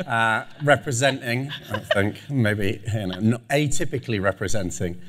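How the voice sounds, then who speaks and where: Deep-voiced British man on a panel. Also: normal speed, a bit bright. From United Kingdom. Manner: self-deprecating, chatty with many fillers. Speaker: panelist, chief digital officer. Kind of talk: conference panel talk. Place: conference stage before a live audience.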